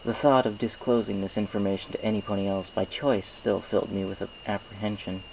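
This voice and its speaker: Low-voiced man narrating a story. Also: little pitch movement, a little fast, vocal fry, darkish tone, a little hiss or hum, poor audio. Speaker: fan fiction reader.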